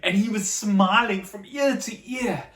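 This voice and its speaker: Expressive woman storyteller in an echoey room. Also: medium pitch, a little slow. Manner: dramatic, simple explanations for children. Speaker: storyteller for a children's church show. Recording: echoey room, slightly distant mic.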